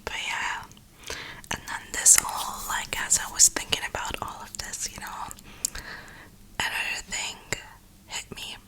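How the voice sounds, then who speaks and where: A woman whispering a reflective, rambling ASMR monologue in a breathy, unhurried way, with drawn-out pauses. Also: sharp tone, poor audio. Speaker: ASMR video creator.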